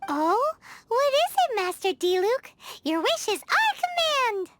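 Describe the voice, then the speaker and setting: A woman voicing a tiny, excitable female fairy companion in a video game cutscene, bubbly and cheeky. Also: extremely high pitch, slow, loud music behind the voice, heavily acted. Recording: game score playing underneath.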